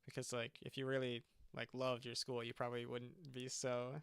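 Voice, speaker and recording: Smooth, flat-voiced young man with gravel. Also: medium pitch, dry recording. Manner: halting, restarts often. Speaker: singer and band frontman. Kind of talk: casual livestream chat.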